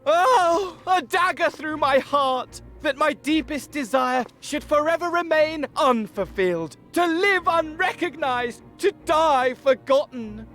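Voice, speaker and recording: Theatrical game villain, unhinged and melodramatic, wildly varied pitch, with prominent music underneath. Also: male voice, animated, slow pace, few pauses, exaggerated voice. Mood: mock anguish. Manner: grandiloquent, melodramatic, swooping from shrill glee to hushed menace. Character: flamboyant, theatrical, mad male villain, servile yet mocking. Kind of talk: theatrical video game voice acting. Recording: music behind the voice.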